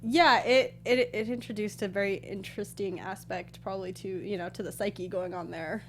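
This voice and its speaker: A woman's voice: medium pitch, steady tone, moderate pace, slight background noise. A woman co-hosting a film review show, talking in a casual, conversational style. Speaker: co-host of a movie review show.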